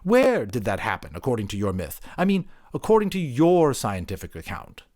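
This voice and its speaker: A man's voice: medium pitch, huge pitch swings, normal speed, a little mellow. A man voicing an eager, inquisitive anthropologist in an audiobook, fussily polite and placating. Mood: probing. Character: eager, overly polite, mopey-turned-keen male anthropologist interviewer. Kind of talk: audiobook character voice.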